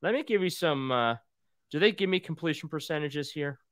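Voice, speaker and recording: A man's voice: on the high side, animated, a little fast, a bit bright, no room sound, clean studio sound. A young man co-hosting a sports podcast from home, earnestly reading out statistics while nearly losing his voice. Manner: earnest, reading stats, defensive. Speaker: podcast co-host. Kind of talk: casual sports podcast banter. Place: small home room with display shelves. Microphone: earbuds and desk microphone on video call.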